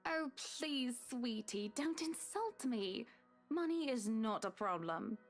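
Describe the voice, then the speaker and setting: Guy doing a high sugary rich lady, slow and patronizing, soft music under it. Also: animated, full character voice. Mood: condescending. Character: pushy wealthy woman, sweetly condescending. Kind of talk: voicing a visual novel.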